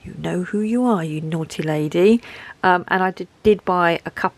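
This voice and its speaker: A woman's voice: fairly low pitch, moderate pace, darkish tone, no room sound. A woman with a head cold and a dry throat, chatting in a rambling, self-deprecating way as she shows off a craft haul at home. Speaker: craft vlogger showing a haul. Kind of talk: casual chat to camera.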